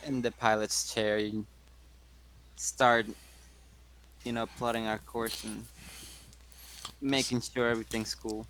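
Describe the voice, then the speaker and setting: A man's voice: mid-range voice, little pitch movement, slow, long pauses, fairly bright tone, slight background noise, rough recording. A man talking casually as a player in a tabletop game. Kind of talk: casual chat, reading rules aloud.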